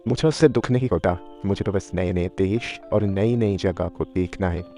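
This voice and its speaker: A man's voice: low-pitched, normal speed, mellow, faint music behind, close to an everyday voice. A man playing an eager young monkey-faced prince in a cartoon story, earnest and pleading.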